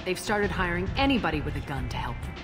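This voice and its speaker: An actress playing a ruthless, ancient alien crime boss who speaks in a cold, cynical, unhurried way. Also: female voice, lowish voice, very animated. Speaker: ruler of the station. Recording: game cutscene with music behind.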